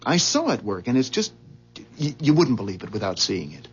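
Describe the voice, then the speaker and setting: Earnest, husky, uneasy employee. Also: male voice, on the low side, wildly swooping pitch, fairly quick, soft background music, heavily acted. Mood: earnest, amazed. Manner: hesitant, stammering, earnest. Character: earnest, uneasy married employee of a motor company. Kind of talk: 1970s radio drama acting. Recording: dull vintage 1970s radio recording.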